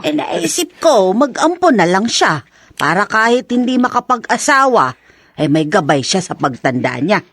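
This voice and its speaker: A woman's voice: mid-range voice, sing-song, normal speed, fairly bright tone. A woman acting a kind-hearted, coaxing older mother in a Filipino radio drama, with a Filipino accent. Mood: chatty, reassuring.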